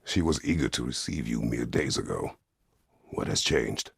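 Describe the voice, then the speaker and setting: An actor playing a stern, weary, middle-aged Greek war god in a video game, speaking tersely and formally with a gravelly, husky voice. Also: male voice, a bass voice, animated, normal speed, dry recording, clean studio sound, full character voice. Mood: stern, brooding. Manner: terse, grave, formal phrasing without contractions. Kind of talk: dramatic video game voice acting.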